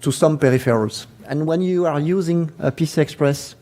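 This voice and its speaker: A man's voice: on the high side, warm tone. French man giving a tech talk. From France. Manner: halting, many fillers and restarts. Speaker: conference speaker. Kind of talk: technical conference presentation.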